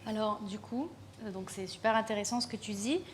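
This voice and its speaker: A woman with a mid-range voice hosts a live conference panel. She moves briskly between guests and poses direct questions. A faint hiss sits under the recording. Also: medium pitch. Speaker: host and moderator.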